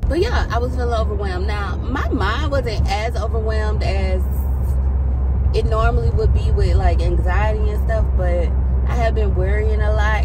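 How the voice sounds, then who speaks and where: Chatty woman vlogging in her car. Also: fairly low pitch. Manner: chatty, animated, laughs often, talks to herself. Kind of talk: casual car chat to camera. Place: parked car, inside. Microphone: phone camera on dashboard. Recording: car cabin with outside noise.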